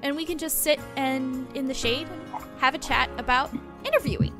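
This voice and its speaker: Woman acting small-town lady reporter, high voice, chatty, helpful, explanatory, tabletop game, music underneath. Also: moderate pace, fairly bright tone. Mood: earnest, instructive. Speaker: game master.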